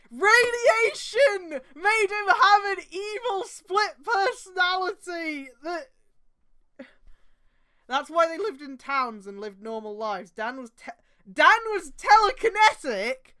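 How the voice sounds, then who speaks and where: A woman streamer reading fan fiction aloud, with casual, profane, exasperated asides and a mocking dramatic delivery. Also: high voice. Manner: exasperated outbursts, often breaking into laughter and shouting.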